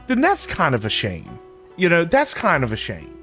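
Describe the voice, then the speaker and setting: A man livestreaming a game and chatting casually with his viewers in an informal, halting, repetitive way. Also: fairly high pitch, wildly swooping pitch, a bit bright. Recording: faint game music behind. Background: faint game music.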